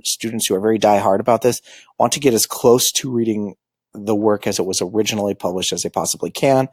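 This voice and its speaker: A man giving an informal lecture on manga, halting often with ums and uhs. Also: lowish voice, darkish tone, no room sound. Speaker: school librarian teaching manga basics.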